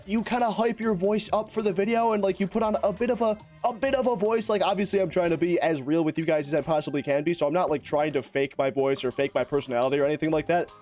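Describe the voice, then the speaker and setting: A young man with a high, raspy voice, hoarse from shouting, rattles off excitable gaming commentary full of slang. Faint game music plays behind him. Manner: excitable, slangy, fast-talking, rambling. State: hoarse from lost voice. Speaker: gaming YouTuber. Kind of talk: energetic gameplay commentary.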